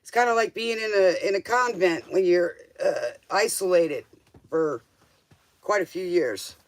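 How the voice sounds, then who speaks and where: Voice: mid-range voice, measured pace. A livestream tarot host chatting casually in a rambling, informal way, drifting between thoughts and tossing out questioning asides.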